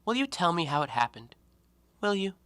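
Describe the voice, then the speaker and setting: An actor playing a blunt, self-assured, observant intellectual in an audio play, speaking in an emphatic, accusing way. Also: male voice, high-pitched, very animated, a little fast, a bit bright, no room sound. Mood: reproachful. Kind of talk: stage-play dialogue in an audio drama.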